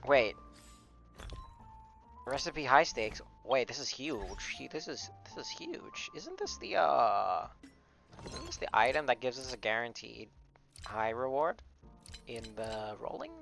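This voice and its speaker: Young man, game streamer, unhurried pace, medium pitch, casual slang, halting delivery, faint background music. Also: animated, creaky, a bit bright. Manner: laid-back, halting, slangy. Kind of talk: casual livestream commentary.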